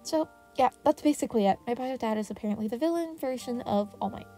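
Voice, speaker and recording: Woman as an earnest, mellow-voiced teenage boy. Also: a little high, expressive, quick pace, faint music behind, lightly acted. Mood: explaining, determined. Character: earnest, guarded, clever teenage boy student.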